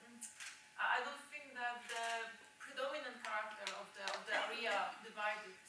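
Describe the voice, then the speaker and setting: A woman's voice: fairly high pitch, level tone, normal speed. A woman, a seminar participant, answering hesitantly and repeating words as she thinks. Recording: echoey, distant room mic with faint noise.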